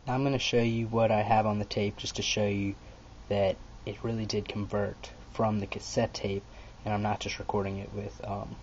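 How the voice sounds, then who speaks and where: A man's voice: on the low side, level tone, moderate pace, darkish tone, dull audio, a little hiss or hum. A man narrating a step-by-step computer tutorial as its host.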